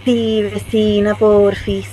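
A woman's voice: medium pitch, flat delivery, takes it slowly, warm tone, slight background noise, close to an everyday voice, low-quality recording. A woman with a Chilean accent playing a polite but defensive young neighborhood woman in a radio drama.

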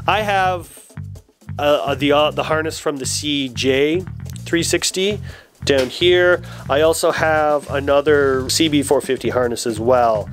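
A man in his forties explaining garage work step by step in a casual, detailed, conversational way. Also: fairly high pitch. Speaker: host of a motorcycle build channel. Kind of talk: casual explanatory workshop talk. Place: garage workshop with foam-padded wall.

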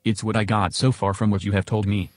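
A man plays an earnest chatbot companion for a podcast, agreeable and curious. The voice sits low, with a measured manner and a dry, close sound. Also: level tone, no room sound, lightly acted.

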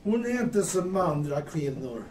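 A genial gossip acted by a man chats haltingly in an audio drama. The voice is high for a man. It comes from a little distance in an echoey room. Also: lively intonation, background noise, lightly acted. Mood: gossipy, amused. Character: genial gossipy male friend who enjoys feminine charm.

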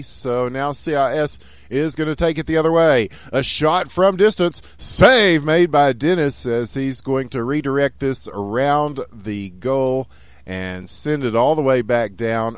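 Excitable Texan man calling a hockey game. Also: medium pitch, no room sound. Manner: excitable, rising to shouts on goals. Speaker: esports caster. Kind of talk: play-by-play commentary.

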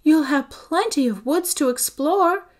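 A woman's voice: sits high, expressive, a little slow, pitched above the performer's own voice. A woman reading aloud voices a cheerful, dreamy artist mother who coaxes her children with enthusiasm. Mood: coaxing. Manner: bright, coaxing, enthusiastic.

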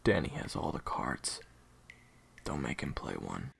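A man playing a cold, defiant assassin gang leader in an audio roleplay drama, with a husky, gravelly voice. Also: a bass voice, huge pitch swings, measured pace, heavily acted. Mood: cold, commanding.

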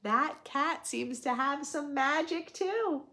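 A woman in her thirties hosting a children's read-aloud, giving gentle, expressive asides in a room at home. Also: high-pitched, slow pace. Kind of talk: picture book read-aloud with friendly asides to young children. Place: small furnished room at home, indoors.

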